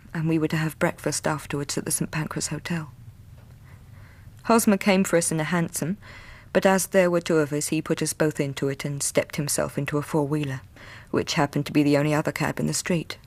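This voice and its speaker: A woman's voice: sits low, fairly even intonation, fairly quick, a little mellow. An actress playing a devoted, anxious young Victorian lady with an English accent, earnest and polite in a radio drama. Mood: polite recounting. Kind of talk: period radio drama acting.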